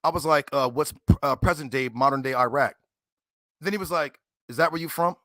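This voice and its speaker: A man's voice: on the high side, a little fast, a bit bright, no echo. A man from Cleveland retelling a debate, indignant and stammering with many fillers. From Cleveland, USA. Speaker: debater and guest. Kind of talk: casual chat retelling a debate.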